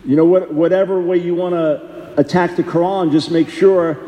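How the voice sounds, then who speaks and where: A man's voice: high-pitched, steady tone, normal speed, dark tone. A man preaching a sermon in an earnest, conversational way, often repeating himself for emphasis. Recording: echoey hall, long reverb.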